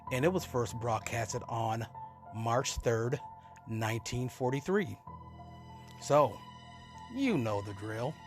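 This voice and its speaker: A man hosting with an unhurried, informal introduction to horror radio plays. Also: mid-range voice, lively intonation, faint music behind. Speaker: show host.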